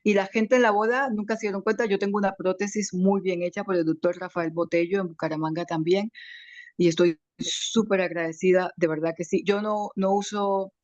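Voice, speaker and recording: A woman with a slight foreign accent in her Spanish, giving an emotional, grateful personal testimony on a video call, halting with many restarts. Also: mid-range voice, steady tone, a little mellow, no room sound. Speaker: webinar participant. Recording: dry, muffled video call audio.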